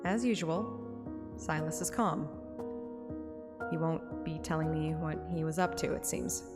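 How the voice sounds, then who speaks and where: A woman's voice: sits low, measured pace. A woman streaming a game, reading its story aloud like narration and chatting casually about it. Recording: game music under the voice. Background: game soundtrack music.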